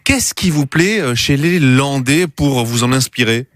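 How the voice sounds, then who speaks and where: Male morning-radio interviewer, average pitch, close studio mic, very little room sound. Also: animated, a little slow, no room sound. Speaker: radio host interviewing a guest. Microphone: close studio microphone.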